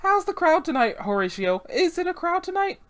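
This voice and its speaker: Amateur performer as genteel, teasing hostess, raised lively pitch, sweetly prying. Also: fairly quick, exaggerated voice. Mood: needling.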